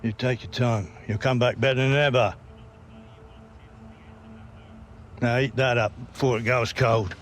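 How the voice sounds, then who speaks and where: A man's voice: medium pitch, steady tone, brisk, a little hiss or hum, lightly acted. A man playing a plain-spoken, caring older relative in a video game cutscene. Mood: firm, reassuring. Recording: game dialogue over faint music.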